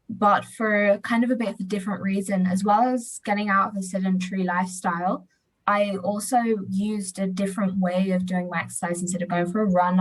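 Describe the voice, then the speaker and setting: A teenage girl on a video call panel, speaking in a casual, relaxed way. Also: medium pitch, distant mic, rough recording.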